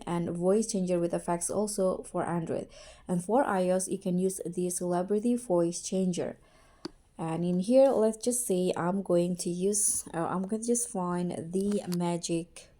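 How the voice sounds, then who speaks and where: A woman narrating a casual how-to tutorial as its host, in a halting way with repeated and corrected words. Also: fairly low pitch, warm tone, a little hiss or hum.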